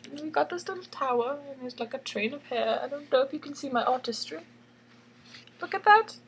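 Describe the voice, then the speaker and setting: A woman's voice: sits high, lively intonation, brisk, rough recording. A woman reading a bedtime story in a theatrical, tongue-in-cheek way, giggly and chatty, repeating phrases for emphasis. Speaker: storyteller and illustrator.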